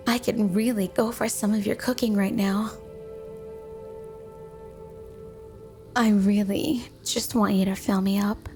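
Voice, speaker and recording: A woman's voice: medium pitch, steady tone, normal speed, a little mellow, soft background music, natural voice. A woman voicing a flirty, clingy yandere snow woman spirit in an ASMR roleplay, speaking playfully and coyly to the listener as a doting wife. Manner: playful, coy, doting, teasing.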